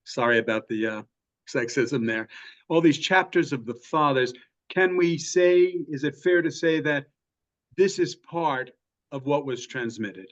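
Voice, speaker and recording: A man's voice: medium pitch, lively intonation, measured pace. A man in an adult study class asking a question tentatively, searching for his words. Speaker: class participant. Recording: dull sound from far off.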